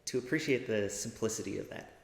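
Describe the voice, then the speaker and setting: A man's voice: fairly low pitch, brisk, gravelly, faint music behind. A man, a composer, speaking in a thoughtful, hesitant way in a documentary interview. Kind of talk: documentary interview, reflective talk. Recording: echoey reverberant room.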